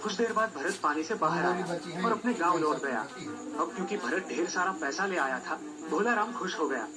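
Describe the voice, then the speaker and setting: Male storyteller with a slightly high voice. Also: lively intonation, moderate pace. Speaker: storyteller, narrator. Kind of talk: scripted children's folk tale narration. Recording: music behind the voice.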